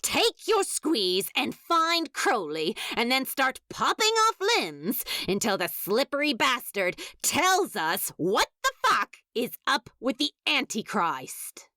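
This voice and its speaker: Casting: female narrator as an irate demon overlord, commanding and abusive, animated pitch, audiobook fantasy. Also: slow, hardly any pauses, no room sound, studio quality, full character voice. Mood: furious. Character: furious, foul-mouthed demon boss barking written orders.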